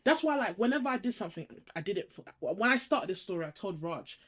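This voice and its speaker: A young woman, co-hosting a casual podcast and telling her story in a quick, animated way. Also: mid-range voice. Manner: fast, animated storytelling with frequent laughs. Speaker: podcast co-host.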